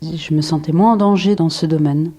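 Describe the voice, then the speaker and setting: A woman in her forties from France talks about her life and work in an unhurried interview. Her voice sits slightly low and sounds warm and mellow. She hesitates often, restarting phrases and filling gaps as she thinks aloud. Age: 40s. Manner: hesitant, many fillers and restarts. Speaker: photographer, interview guest. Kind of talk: reflective interview answers.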